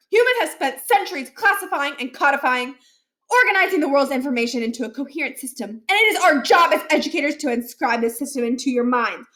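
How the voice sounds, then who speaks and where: A woman's voice: high voice, fairly bright tone, roomy sound, pitched above the performer's own voice. Woman playing a stern, pompous male school principal. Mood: stern, lecturing. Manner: pompous, commanding, clipped and formal.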